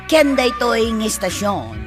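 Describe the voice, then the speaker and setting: A woman's voice: fairly high pitch, big pitch swings, unhurried. A woman with an Ilocano accent announcing a radio drama, reading out the programme intro and credits dramatically. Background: music bed.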